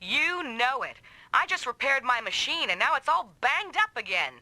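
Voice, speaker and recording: An actress voicing a proud, sharp-tongued woman mech pilot in an anime-style video game, bossy, taunting and combative. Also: high-pitched, sing-song, normal speed, noisy background. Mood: teasing, scornful. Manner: brash, taunting, commanding.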